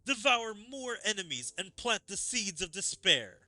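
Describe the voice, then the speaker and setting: Brief: male streamer reading a grandiose cackling game villain, loud and theatrical, bright tone. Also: high voice, sing-song, a little slow, no echo, voice pushed up, heavily acted, poor audio. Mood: gloating. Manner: theatrical, laughing between shouted commands.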